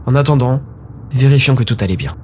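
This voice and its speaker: A man's voice: medium pitch, fairly quick, darkish tone, a little hiss or hum. A man narrating a scripted story dramatically, in short, brooding, suspenseful phrases. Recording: music behind the voice.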